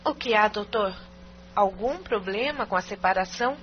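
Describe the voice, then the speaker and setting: A woman's voice: mid-range voice, moderate pace, close to an everyday voice. A woman playing a reserved, weary wife in an audio drama, speaking in a formal, guarded way. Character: reserved, weary married woman facing divorce. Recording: dull recording with faint hum.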